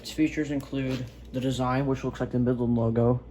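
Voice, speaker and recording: A man's voice: medium pitch, flat delivery, a little fast, mellow. Man casually reviewing gadgets, noisy recording. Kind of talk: casual unscripted product review.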